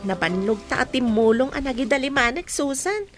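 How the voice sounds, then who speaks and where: A woman's voice: high-pitched, lively intonation, fairly quick, music in the background. An actress playing a friendly, contented young woman in a radio drama.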